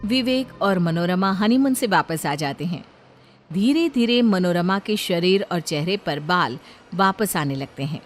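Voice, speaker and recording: A woman narrator reading a scripted moral story. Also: mid-range voice.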